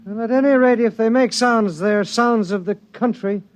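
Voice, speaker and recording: An actor in a 1950s radio drama playing an idle, fussy gentleman who hates the outdoors and talks in a languid, sardonic way. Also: sits high, moderate pace, a little hiss or hum, lightly acted.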